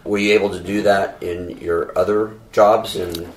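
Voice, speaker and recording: A man hosting an interview, asking slow, drawn-out questions of his guest. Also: deep voice, steady tone, a little hiss or hum. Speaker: podcast host. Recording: echoey and distant from the mic.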